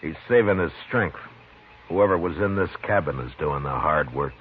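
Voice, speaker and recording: An actor playing a steady, grim American frontier marshal in a 1950s western radio drama, speaking in a terse, measured way. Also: male voice, extremely low pitch. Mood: steady, businesslike. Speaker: lead character and narrator. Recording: muffled, noisy 1950s radio recording.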